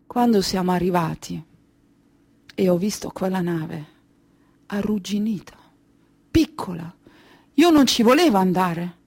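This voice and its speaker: A woman performing a theatrical monologue as a weary, haunted Kurdish refugee recounting her flight, speaking deliberately and emotively in short phrases with pauses. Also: fairly low pitch, lively intonation, darkish tone. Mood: weary remembering. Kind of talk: slow dramatic theatrical monologue.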